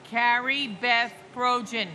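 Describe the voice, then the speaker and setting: Solemn woman in her sixties, high bright voice, slow and emphatic memorial reader. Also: steady tone, a little hiss or hum. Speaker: victim's family member reading names. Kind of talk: memorial ceremony name reading and speech.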